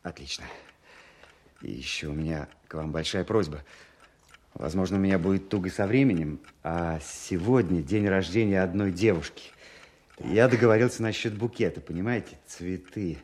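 An actor playing a proud young army captain and war veteran, lovestruck and resentful, in a measured, expressive audio drama performance. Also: male voice, low voice, slightly rough. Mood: hurried, polite. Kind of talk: Soviet-style audio drama, first-person narration and dialogue.

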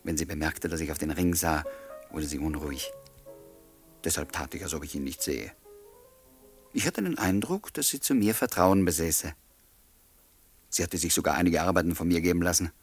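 A man's voice: deep voice, moderate pace, long pauses, soft background music. An actor playing a smitten, earnest male writer, gallant and musing, in a German radio play. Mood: musing, confiding.

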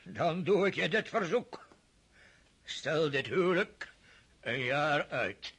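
An actor playing a stern, mocking older aristocratic father in a radio drama, with a husky voice and scornful laughs. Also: a little high, expressive, slow, fairly bright tone, heavily acted.

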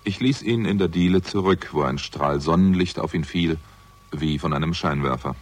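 A man's voice: rumbling low, normal speed. An actor playing a weary, bitter theatrical agent who narrates his own story in a terse, sardonic way in a 1960s German crime radio drama. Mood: melancholy.

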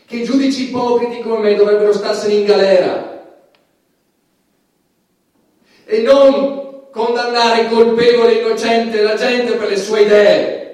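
Anguished stage judge, heavily echoing. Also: male voice, high-pitched. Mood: impassioned, defiant. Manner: declamatory, with swings from hushed to shouting. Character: anguished, self-justifying male judge, defiant and guilt-ridden. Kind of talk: theatrical stage monologue. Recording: very echoey stage, distant microphone.

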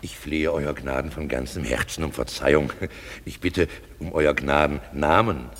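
An actor playing a coarse, jovial weaver with a donkey's head, speaking with mock courtesy in a classic German radio play. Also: male voice, moderate pace, noisy background. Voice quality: gravelly deep voice, chuckling.

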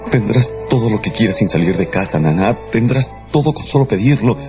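A man's voice: on the low side, expressive, brisk, natural voice. An actor playing a possessive, jealous married count, besotted with his mistress, in a grave and intense radio drama style. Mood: besotted, promising. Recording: dull radio production with music underneath.

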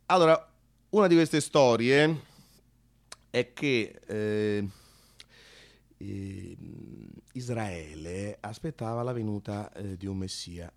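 A man performing a theatrical radio monologue, conversational and halting, repeating phrases and swinging from hushed to excited. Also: on the low side, creaky. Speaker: solo narrator-actor.

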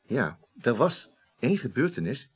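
Hesitant, husky man under questioning. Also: mid-range voice, big pitch swings, normal speed. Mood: puzzled recounting. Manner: hesitant, rambling, defensive. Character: evasive male office superior being questioned as witness. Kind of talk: Dutch radio play acting.

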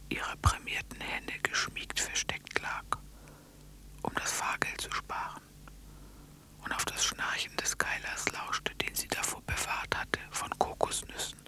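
An actress narrating a surreal radio play as a hushed storyteller, in a breathy whisper. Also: female voice, lightly acted. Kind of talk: literary radio drama narration.

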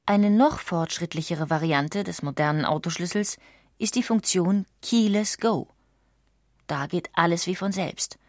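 A woman reading scripted documentary narration in a measured, articulate way. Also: fairly low pitch, a little mellow, no echo, studio quality. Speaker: audiobook narrator.

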